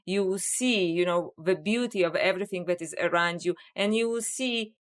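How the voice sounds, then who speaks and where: A woman with a Polish accent, a guest in a podcast interview, explaining things in a measured, reflective way with frequent "you know.". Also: on the low side. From Poland. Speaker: author and scholar, podcast guest. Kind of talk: interview conversation.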